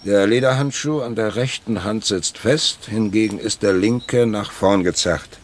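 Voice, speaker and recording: An actor playing a brisk, authoritative public prosecutor in a radio crime drama, speaking in clipped, formal dictation. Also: male voice, fairly low pitch, lightly acted. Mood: formal, dictating. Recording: noisy outdoor ambience with birds.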